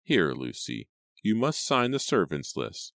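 A man's voice: sits low, huge pitch swings, a little slow, creaky, a bit bright, no room sound, clean studio sound, pitched above the performer's own voice, exaggerated voice. Man playing a bossy, fretful old housekeeper woman. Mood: scolding, warning.

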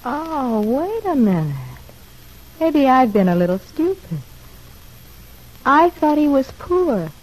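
A woman's voice: high voice, sing-song, takes it slowly, pauses often, exaggerated voice, low-quality recording. A wisecracking woman convict in an old radio serial. She talks in a chatty, slangy way and loves to needle, with a mocking edge to her teasing. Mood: sardonic, probing. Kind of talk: old-time radio drama acting.

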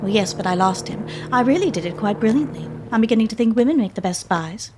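High-voiced, wry young widow, acted by a woman. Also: animated, fast talker, noisy background, natural voice. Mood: wry, lively. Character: frightened but witty young American widow. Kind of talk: radio drama acting.

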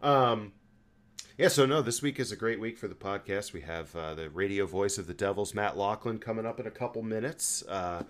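A man with a low-pitched voice hosts a casual sports podcast. He talks in a loose, conversational way, feeling his way through sentences with frequent halts. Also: normal speed. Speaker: podcast host.

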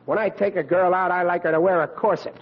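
A man's voice: high-pitched, fairly even intonation, quick pace, close to an everyday voice. An actor playing a brash, insecure teenage boy with blustering, slangy speech in old-time radio comedy style. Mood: boastful. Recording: dull, lo-fi vintage radio recording.

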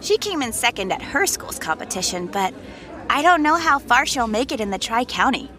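Bright, high-voiced, exacting girl. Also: big pitch swings, moderate pace, some chatter behind. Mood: matter-of-fact. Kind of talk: audio drama acting.

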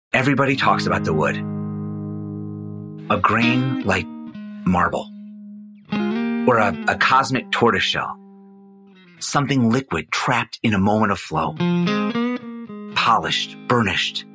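Musing man narrator, lowish voice, lively inflection, dramatic pauses, guitar music behind. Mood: fascinated, musing. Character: male investigative storyteller, fascinated by a legend. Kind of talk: documentary-style fiction podcast narration. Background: plucked guitar music.

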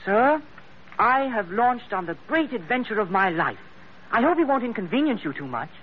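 A man's voice: sits high, big pitch swings, moderate pace. A male actor playing an earnest, well-bred boy of fourteen, courteous and eager, in a 1940s radio drama. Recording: tinny old radio, faint noise.

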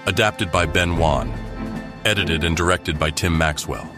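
A man's voice: sits low, unhurried. A man delivering scripted, dramatic story narration for an audio drama. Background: music.

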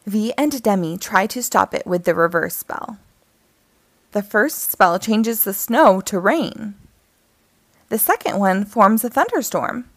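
A woman narrating a children's picture book aloud in an expressive, deliberate way. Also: medium pitch, dry recording. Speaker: storyteller reading picture books aloud.

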